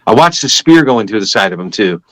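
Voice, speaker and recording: A man's voice: fairly low pitch, very animated, fast talker, a bit bright, no room sound. An older man giving a personal religious testimony as a guest, telling it in an emphatic, repetitive, conversational way.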